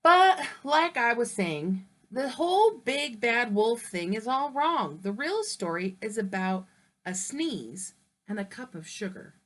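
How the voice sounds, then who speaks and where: A woman's voice: fairly high pitch, very animated, takes it slowly, fairly bright tone, exaggerated voice. A woman voicing a male wolf who narrates his own version of a storybook in a chatty, persuasive way. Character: smooth-talking male wolf telling his side.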